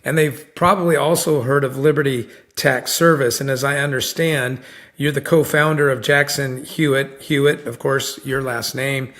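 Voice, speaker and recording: A man's voice: medium pitch, fairly even intonation, moderate pace, a bit bright. A man hosting a podcast interview, chatting casually and rambling with lots of fillers and restarts. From United States. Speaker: podcast host.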